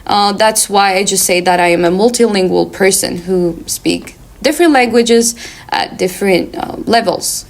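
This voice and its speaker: A woman from Sweden, a native Swedish speaker, talking in careful, measured sentences in a casual discussion with frequent fillers. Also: medium pitch.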